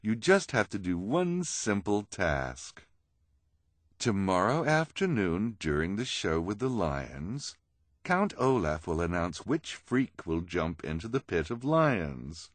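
Audiobook villainess, played by a man: haughty, persuasive schemer, animated pitch, close dry sound. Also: slow pace, no echo, exaggerated voice. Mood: commanding. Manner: persuasive, emphatic, condescending.

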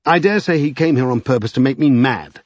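A man's voice: medium pitch, lively intonation, fairly quick, slightly rough, no echo, dull audio, voice pushed up. A man voicing an irritable, passionate, self-pitying magician in an audiobook. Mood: raging, self-pitying. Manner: irritable, demanding.

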